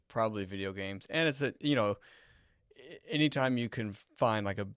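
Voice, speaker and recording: A man co-hosting a podcast, giving relaxed, thoughtful opinions in casual chat. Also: on the low side, vocal fry, no echo, studio quality. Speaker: podcast co-host.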